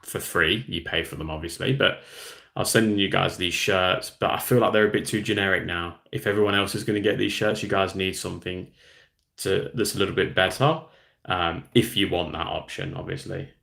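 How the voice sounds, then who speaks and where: A low-voiced man talks loosely to his livestream viewers, rambling between ideas and reading out comments. His voice sits deep, with a gravelly, fry-laden edge. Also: steady tone, fairly quick, fairly bright tone. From Australia. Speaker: hobby channel host. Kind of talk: casual livestream chat.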